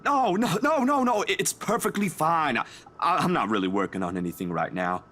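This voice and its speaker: A man's voice: fairly high pitch, big pitch swings, a little fast, sharp tone, full character voice. An actor playing an anxious but considerate young man in a visual novel game. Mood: surprised, considerate.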